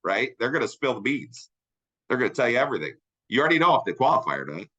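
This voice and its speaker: A man's voice: mid-range voice, big pitch swings, fast talker, sharp tone, slightly rough, muffled recording. A middle-aged man with a congested, nasal voice, presenting a sales training webinar in a conversational way and often checking in with his listeners. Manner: upbeat, conversational, asks "right?" often. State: congested, sinus infection.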